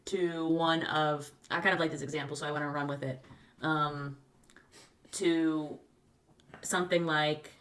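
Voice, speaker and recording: A woman hosting an interview works her way through long, searching questions, pausing on fillers and restarting. Her voice sits fairly low, yet it has a bright, forward edge. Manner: hesitant, many fillers and restarts when asking. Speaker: interviewer, host.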